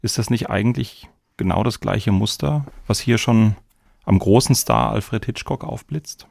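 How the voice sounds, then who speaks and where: A man's voice: on the low side, measured pace, vocal fry, a little mellow, dry recording, studio quality. A man hosting a panel discussion, putting pointed, structured questions to guests. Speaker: host.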